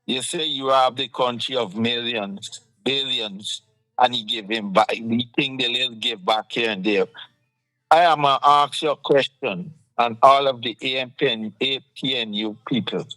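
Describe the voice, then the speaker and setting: A man's voice: medium pitch, normal speed, pushed and bright, dry recording, poor audio. A man with a Guyanese accent hosting a casual political talk show, passionate and firing off rhetorical questions.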